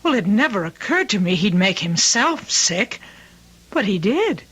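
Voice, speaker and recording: A woman's voice: mid-range voice, sing-song, a little slow, a bit bright, slightly rough, heavily acted, poor audio. An actress playing an anxious, doting middle-aged mother in an old-time radio drama, speaking in an earnest, fretful way. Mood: concerned, relieved.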